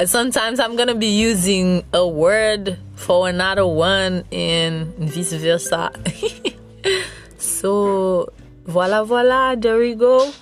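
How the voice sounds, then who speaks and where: Young Haitian-accented woman hosting a solo podcast, chatty and giggly, unhurried delivery, faint music underneath. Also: mid-range voice. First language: Haitian Creole. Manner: chatty, rambling, with frequent giggles. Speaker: podcast host. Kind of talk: casual solo podcast monologue.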